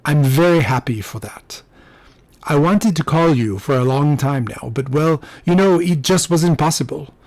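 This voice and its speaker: A man's voice: a little high, a little slow, a little mellow, dry recording. A man voicing a nervous, polite Frenchman in his twenties with a French accent and hesitant, formal phrasing, in an audiobook. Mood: warm, earnest.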